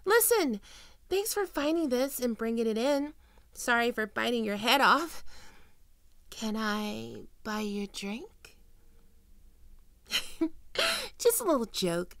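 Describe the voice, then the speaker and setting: A young woman playing a high-energy, teasing fox-woman librarian in an expressive roleplay performance addressed to the listener. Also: creaky, dry recording, full character voice. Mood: friendly teasing.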